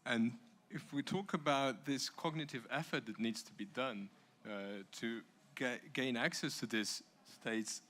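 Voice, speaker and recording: A man's voice: medium pitch, unhurried, fairly bright tone. A man moderating a panel, putting unscripted questions hesitantly and restarting them several times.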